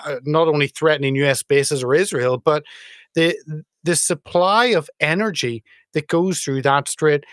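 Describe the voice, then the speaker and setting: Male host, slightly high voice, halting and wry, dry room. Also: a bit bright, no room sound. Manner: halting, sarcastic, many fillers. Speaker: show host. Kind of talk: casual political chat.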